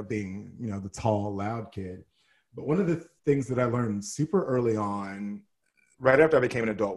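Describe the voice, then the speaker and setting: A man in his thirties, a podcast guest telling stories in a casual interview, animated and playful with sassy asides. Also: fairly low pitch. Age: 30s.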